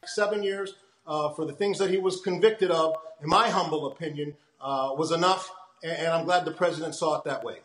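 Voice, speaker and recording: High-voiced American man in an echoey room. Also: fairly even intonation, fairly quick, fairly bright tone. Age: middle-aged. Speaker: attorney, interviewee. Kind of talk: video interview opinion. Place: room at home. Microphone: video call. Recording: echoey room over video call.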